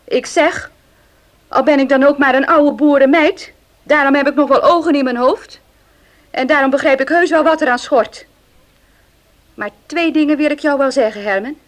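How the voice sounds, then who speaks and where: An actress playing a stern, pious and outspoken old farm maid in a radio drama. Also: female voice, high-pitched, normal speed, pauses often, natural voice. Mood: stern, reproachful. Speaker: actor in a radio play. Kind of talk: Dutch radio drama acting.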